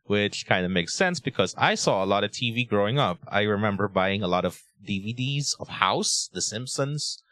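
A man's voice: on the low side, expressive, fairly bright tone, no echo. A Filipino man, co-host of a podcast, chatting casually in Tagalog and English with an American Midwestern-leaning accent, often laughing at himself. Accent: Filipino speaker with American-leaning English. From Philippines. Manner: laughing, self-mocking, quick bursts.

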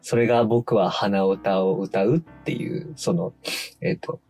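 A man's voice: lowish voice, a little slow, darkish tone, faint music behind. A man appearing as a radio guest, talking casually and politely with many hesitations. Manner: hesitant, polite, filler-heavy. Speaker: guest, theatre troupe member.

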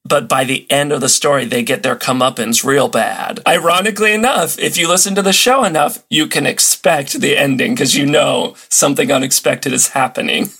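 A man's voice: medium pitch, moderate pace, creaky, few pauses, bright, forceful tone, slightly rough. A man talking as a podcast guest and enthusiast, explaining and telling stories in casual chat. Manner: conversational storytelling. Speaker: podcast guest, old-time radio enthusiast.

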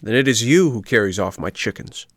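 A man's voice: on the low side, normal speed, fairly bright tone, dry recording, clean studio sound. A man voicing an angry storybook peasant farmer, stern and commanding, with a threatening way of talking. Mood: angry accusation.

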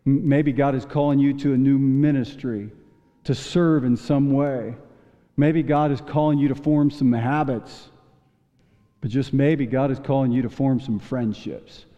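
A male pastor preaching a sermon in a folksy storytelling manner, stumbling into restarts and chuckling now and then, with a voice he says is going hoarse. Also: medium pitch, fairly even intonation, unhurried. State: losing his voice. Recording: dull long church reverb.

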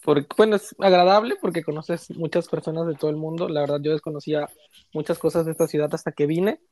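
A man's voice: a little high, steady tone, quick pace, darkish tone. A man in his late twenties from Chiapas with a Mexican accent, chatting informally as an interview guest. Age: late 20s. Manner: easygoing, informal, uses slang. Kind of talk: casual interview chat.

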